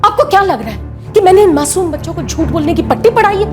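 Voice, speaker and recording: A defensive, indignant aunt in a TV crime drama. Her voice is very high-pitched and accusing, with emphatic dramatic delivery over clear background music. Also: expressive, quick pace. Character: indignant, defensive aunt under police questioning.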